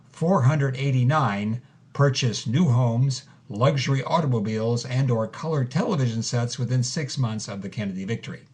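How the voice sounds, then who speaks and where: An actor plays a male interviewer in an audio drama, earnest and inquisitive. He sets out facts in a measured, methodical way. His questions are precise and probing, with an everyday mid-range voice. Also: mid-range voice, lightly acted.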